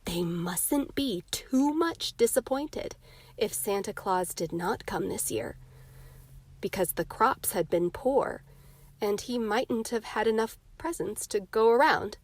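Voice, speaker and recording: A woman voicing a gentle, worried sixteen-year-old big sister in a soft, tender storybook read-aloud. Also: mid-range voice, expressive, takes it slowly, no room sound. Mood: gently cautioning.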